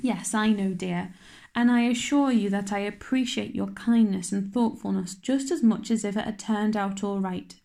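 A kindly, merry minister's wife, as a woman reader puts her on, a little higher than the reader's own voice. She soothes slowly and gently, with warmth in every word. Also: gravelly, close to an everyday voice. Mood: soothing.

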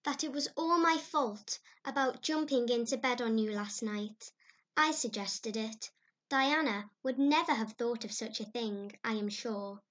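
A woman's voice: fairly high pitch, a little slow, a bit bright. A woman reading aloud, voicing an imaginative, dramatic orphan girl who gushes breathlessly. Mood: earnest. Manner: breathless, gushing, heightened.

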